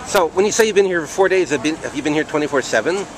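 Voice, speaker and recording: A man interviewing protesters on the street, asking brief, calm questions. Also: fairly high pitch, very fast, crowd noise. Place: outdoors on a city plaza by traffic. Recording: outdoor street noise.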